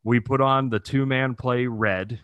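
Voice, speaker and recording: A man's voice: medium pitch, steady tone, a little slow, a bit bright, clear, resonant tone, no echo, muffled recording. Jokey man in his thirties, dry close recording. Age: 30s. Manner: profane, jokey storytelling with frequent chuckling. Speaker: podcast co-host. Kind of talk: casual comedic chat.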